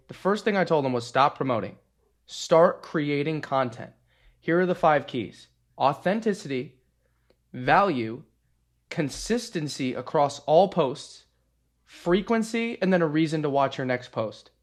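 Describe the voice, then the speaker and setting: A man's voice: a little high, animated, unhurried. A man in his twenties co-hosting a podcast, laying out his points confidently one by one. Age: 20s. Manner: confident, lays out points as a list. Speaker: podcast co-host and consultant. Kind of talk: casual podcast conversation.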